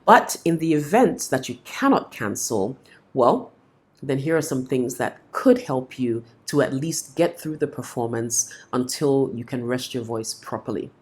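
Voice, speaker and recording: A woman's voice: a bass voice, expressive, measured pace, husky. A woman, a singer and vocal coach with a cold, giving practical tips to camera in a friendly, explanatory way. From Ghana. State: has a cold. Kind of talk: instructional talk to camera.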